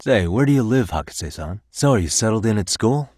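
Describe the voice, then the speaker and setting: A man's voice: on the low side, animated, a little fast, a little mellow, no room sound. An actor in his thirties with an American accent playing an easygoing, wry private detective in casual video game dialogue. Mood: curious, relaxed. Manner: casual, dry wry humour.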